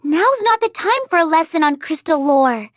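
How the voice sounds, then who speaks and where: A woman playing a small, brisk female fairy guide in a video game, bossy and chirpy as she instructs. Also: very high-pitched, big pitch swings, no room sound, clean studio sound. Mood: impatient.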